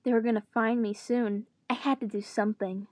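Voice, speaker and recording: A woman's voice: on the high side, flat delivery, brisk, a little mellow, no room sound. A woman reading a story aloud dramatically, with joking asides in her own voice. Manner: breaks character to groan and joke. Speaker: reader of fan fiction.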